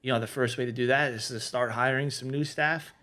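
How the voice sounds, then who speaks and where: A man with a mid-pitched voice gives casual advice in a podcast discussion. He explains things conversationally, setting out his points one after another as he goes. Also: steady tone, fairly quick, sharp tone. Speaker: podcast panelist giving restaurant management advice.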